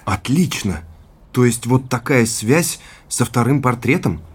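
A man's voice: mid-range voice, animated, a little slow, slightly rough. An actor playing an earnest, curious man in an audio drama, speaking in brief, thoughtful replies. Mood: eager. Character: earnest man curious about his family history.

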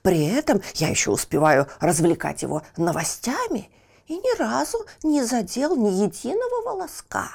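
A woman's voice: on the high side, big pitch swings, normal speed, gravelly, darkish tone, husky, exaggerated voice. A woman voicing a sly, boastful male barber and informer in a children's fairy tale, wheedling and blustering. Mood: oily, boastful. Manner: wheedling with customers, blustering when crossed.

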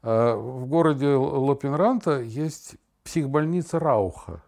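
A man's voice: mid-range voice, expressive, a little slow, vocal fry, darkish tone. Older man, theatrical podcast storyteller. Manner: theatrical, digressive, illustrates with sound imitations and examples. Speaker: podcast host, father talking with his daughter. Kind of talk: casual podcast conversation, storytelling.

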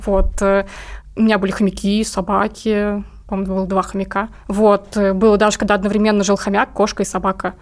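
A woman's voice: medium pitch, flat delivery, fairly quick. A woman speaking casually as an interview guest, talking about her own work as an illustrator.

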